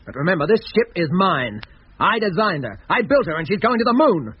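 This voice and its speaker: Fast, hot-headed spaceship designer, old radio. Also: male voice, high-pitched, big pitch swings, slightly rough, heavily acted. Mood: defiant, agitated. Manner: impatient, combative, emphatic. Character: hot-headed, stubborn male spaceship designer, obsessed and defiant. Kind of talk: 1950s radio drama acting. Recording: dull, noisy 1950s radio recording.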